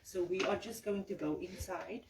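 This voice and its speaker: An organizer guides a community gathering, warm and steady. The voice carries through an echoey room from a distance. Loud background noise runs underneath throughout. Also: mid-range voice, normal speed, creaky. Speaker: event organizer.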